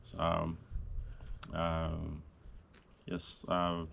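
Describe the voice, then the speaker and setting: A man speaks slowly in a very low voice. He hesitates often with fillers. A faint hiss sits under the recording. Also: monotone, creaky, fairly bright tone. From Zambia. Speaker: guest, host's husband. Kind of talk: casual conversation.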